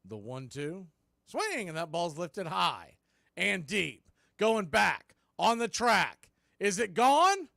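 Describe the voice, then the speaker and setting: A man's voice: high-pitched, big pitch swings, slow pace, vocal fry, bright, forceful tone, no room sound, studio quality. A man doing live baseball play-by-play as an informal, colloquial announcer who openly roots for his team. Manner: homer fan, informal and colloquial. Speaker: baseball play-by-play announcer for a fan watch party. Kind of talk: live sports play-by-play commentary.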